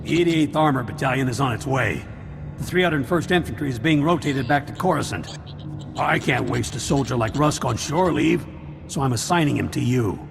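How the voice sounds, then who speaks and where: An actor playing a bluff, commanding male army general in a video game, with a gravelly voice and familiar authority. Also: mid-range voice, lively intonation, normal speed. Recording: reverberant hall, music behind.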